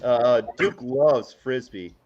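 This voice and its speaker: A man's voice: mid-range voice, takes it slowly, a little hiss or hum. A man in easygoing, playful casual chat on a livestream, heard through dull lo-fi audio.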